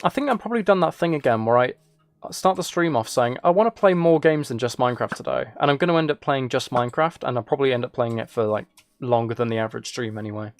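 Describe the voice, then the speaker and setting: Gravelly-voiced man chatting on a game stream. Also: medium pitch, fast talker. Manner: rambling, thinking aloud, replying to viewers. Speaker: livestreamer, gaming and ASMR channel creator. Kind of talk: casual live stream chat while gaming.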